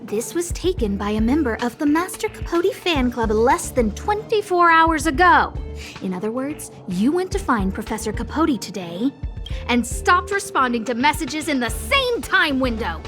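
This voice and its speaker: A woman's voice: high voice, big pitch swings, normal speed, over music. A woman playing an earnest, dramatic young noblewoman boss in an anime-style video game, with emphatic, theatrical delivery. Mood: smug, insistent.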